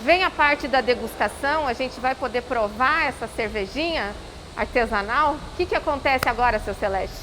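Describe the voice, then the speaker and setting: A woman reporter asks lively, direct questions in a high voice. Steady background noise runs clearly under her words. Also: normal speed. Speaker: reporter and interviewer. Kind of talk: TV interview.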